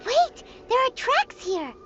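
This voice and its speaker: A woman voicing a tiny, eager, childlike fairy companion in an anime game, with a squeaky voice and an exclamatory way of talking. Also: very animated, takes it slowly, over music, full character voice. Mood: earnest. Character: tiny excitable chirpy fairy-like girl companion. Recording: muffled game audio, loud background noise.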